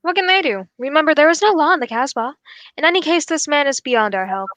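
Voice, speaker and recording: A young woman plays a keen and courteous detective in an old-time radio mystery. The voice is high and very dry, with formal, deliberate phrasing that stays composed. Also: lively intonation, a bit bright, no echo. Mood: urgent, resolute. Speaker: actor in a student radio drama.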